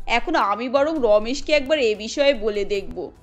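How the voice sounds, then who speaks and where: A woman voicing a gentle, concerned middle-aged mother-in-law who gives careful advice in a Bengali cartoon. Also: fairly high pitch, expressive, measured pace, soft background music, pitched below the performer's own voice. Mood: gently concerned.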